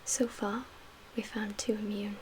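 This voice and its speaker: A woman playing a calm survivor camp leader in a soft-spoken ASMR roleplay, with a breathy, whispery voice and a gentle, measured, hushed manner. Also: medium pitch, close to an everyday voice, rough recording. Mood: calm, explaining. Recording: clear background ambient noise.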